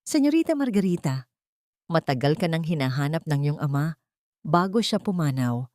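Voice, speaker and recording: A woman's voice: low voice, very animated, moderate pace, darkish tone, dry recording. Lady narrator doing a middle-aged filipino man, a lawyer in an audio drama, respectful and grave, very dry sound. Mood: solemn, earnest. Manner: formal, grave, emotional.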